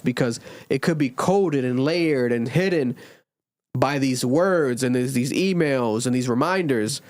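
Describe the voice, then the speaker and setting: A man in his twenties hosting a casual podcast, talking animatedly and jumping high in pitch when he jokes. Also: a little mellow, dry recording, studio quality. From Rhode Island, USA. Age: 20s. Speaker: podcast host. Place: home recording during a blizzard.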